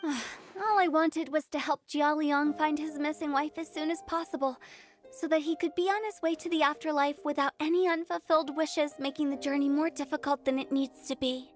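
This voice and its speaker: An actress playing a playful young funeral director with a theatrical, sardonic, breezy manner in an anime video game. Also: female voice, sits high, steady tone, moderate pace, soft background music, natural voice. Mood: exasperated.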